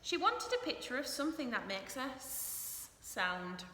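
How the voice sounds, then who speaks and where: Helpful, lively small bee, woman narrator putting it on, children's storybook, bright and emphatic, reverberant recording. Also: high-pitched, a little slow, heavily acted. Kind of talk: children's storybook read-aloud. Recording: echoey room with noise.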